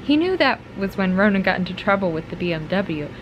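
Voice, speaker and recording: A woman's voice: medium pitch, big pitch swings, fairly quick, highs cut off. Casting: young American female vlogger, mid-range voice, conversational and thoughtful, recorded at home with some background noise. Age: young adult. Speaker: book vlogger. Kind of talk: casual vlog chat. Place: bedroom at home, indoors. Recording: clear ambient room noise.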